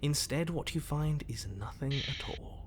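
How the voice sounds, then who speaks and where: An actor playing a smug, controlling narrator in a horror video game, telling the story in measured, formal, theatrical phrases. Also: male voice, fairly high pitch, slight background noise, natural voice. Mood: ominous.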